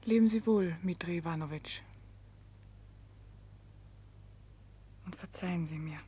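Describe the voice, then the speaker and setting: An actress playing a resigned but firm young Russian woman prisoner in a German radio play, husky and hushed. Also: lowish voice, brisk. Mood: sorrowful. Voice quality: husky, breathy. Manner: hushed, half-whispered, restrained.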